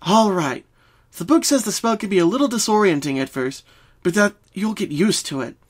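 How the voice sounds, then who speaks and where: Guy doing a studious girl unicorn, higher voice, assured and reassuring, storybook cartoon style. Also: lively intonation, moderate pace. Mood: reassuring, confident. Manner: assured, explanatory. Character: confident, reassuring young female magical unicorn, studious.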